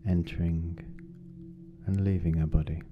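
A meditation guide speaking gently and unhurriedly, with long pauses, leading a guided meditation. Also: sits low, steady tone. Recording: dull lo-fi sound, music behind. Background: meditation music.

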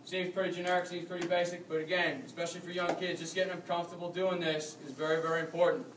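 Echoey distant coach demonstrating drills. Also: male voice, a little high, flat delivery, fast talker, background noise. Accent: American. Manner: explanatory, demonstrating while moving. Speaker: rugby coach. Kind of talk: demonstration clinic. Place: large carpeted conference room with a crowd standing around. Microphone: phone camera from across the room. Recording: roomy echo, distant from the mic.

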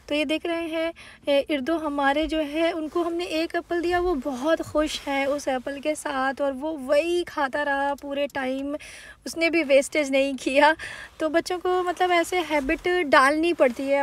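A woman from India narrating a casual vlog, chatting easily and dropping English words into her talk. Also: sits high. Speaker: vlogger.

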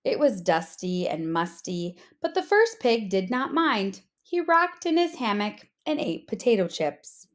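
A woman narrating a children's storybook aloud in an expressive read-aloud style. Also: medium pitch, unhurried, hardly any pauses. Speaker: storybook reader, teacher.

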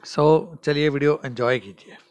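A man's voice: fairly high pitch, fairly even intonation, unhurried, darkish tone, dry recording. Guy doing a chill road trip voiceover, talks right at viewers, dry close sound. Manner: friendly, addresses viewers directly. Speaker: travel vlogger. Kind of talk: road trip voice-over narration.

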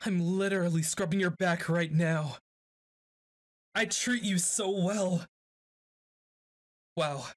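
Guy doing a dramatic boyfriend asmr roleplay, talks slow, close mic. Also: sits high, dry recording. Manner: speaks to an unseen listener, moody and dramatic.

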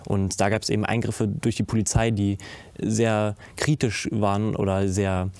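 A young man speaks intensely on a studio panel, stumbling over restarts and fillers. His voice is lowish and monotone, recorded dry and close. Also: moderate pace, gravelly, dry recording. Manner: intense, many hesitations and restarts. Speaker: youth panelist. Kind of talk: panel discussion. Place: indoor studio set with curtains.

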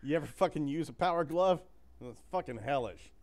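A male podcast co-host who talks with a swinging, lively pitch that sits a touch high. He is crude and profane, cracking jokes and mocking things as he goes. It is loose, casual chat. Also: brisk, a crowd in the background. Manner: loud, profane, mocking and jokey.

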